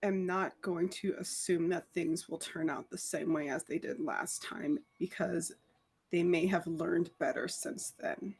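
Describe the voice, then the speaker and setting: A woman voicing a measured, skeptical and resolute vampire in a tabletop roleplay, deliberate and dry. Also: on the low side, steady tone, a little mellow, breathy edge.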